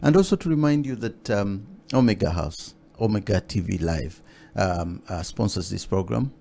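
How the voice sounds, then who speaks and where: A man hosting a studio interview, talking haltingly with many fillers and restarts. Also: on the low side, animated, creaky, warm tone, a little hiss or hum. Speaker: talk show host. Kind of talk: hesitant studio interview chat.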